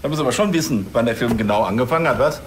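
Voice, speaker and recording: A man's voice: medium pitch, animated, very fast, background noise, natural voice. An actor playing a lazy, distracted policeman in a TV crime comedy, hesitant and offhand. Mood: doubtful.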